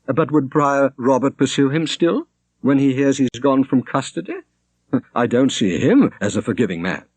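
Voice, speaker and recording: Man doing an earnest young woman, lifted pitch. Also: moderate pace, darkish tone, slightly rough, dry recording, natural voice. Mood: animated. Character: earnest, quick-witted grieving young Welsh woman. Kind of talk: audiobook character reading.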